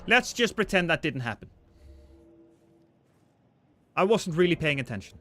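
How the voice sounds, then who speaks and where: A man's voice: on the high side, sing-song, a little slow, pushed and bright. A man, chatting casually and joking with his chat while streaming a game. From Norway.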